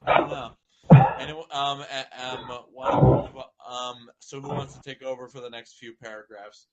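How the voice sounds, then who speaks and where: A man reading a story aloud in a group and chatting casually, often halting and stumbling over his words. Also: mid-range voice, flat delivery, low-quality recording. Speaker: amateur fan fiction reader.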